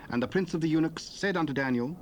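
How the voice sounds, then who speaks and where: A man narrating a dramatized Bible reading in a measured, solemn way, from an old cassette tape. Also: a little high, slight background noise.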